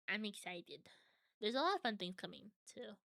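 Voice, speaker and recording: A woman's voice: medium pitch, sing-song, normal speed, vocal fry, pushed and bright, no echo, clean studio sound. A young adult woman streaming from home, chatting casually with viewers and answering their comments.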